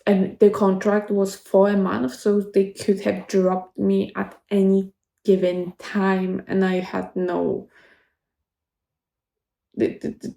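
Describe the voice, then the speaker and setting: Polish-accented woman in her twenties, gravelly. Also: medium pitch, warm tone, roomy sound. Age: 20s. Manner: rambling, halting, many restarts. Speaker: vlogger. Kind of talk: casual chat to camera.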